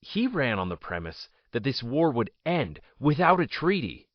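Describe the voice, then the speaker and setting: A man playing a proud, bitter ousted politician in an audio drama, telling his story in a deliberate, theatrical monologue with dramatic pauses. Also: mid-range voice, big pitch swings, no echo, lo-fi sound, natural voice. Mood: somber, reflective.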